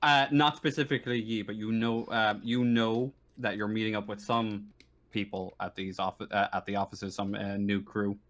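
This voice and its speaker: A man's voice: lowish voice, fairly even intonation, normal speed, a bit bright. A man running a tabletop game as game master, talking casually in an easygoing, accommodating way. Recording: noisy line with clicks.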